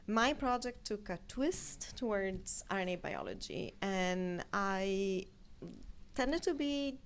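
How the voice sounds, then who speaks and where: A woman's voice: medium pitch, expressive, slow, a little hiss or hum. A woman in her thirties from Italy with an Italian accent, chatting as an interview guest and prone to long tangents. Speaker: guest scientific editor. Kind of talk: conversational interview storytelling.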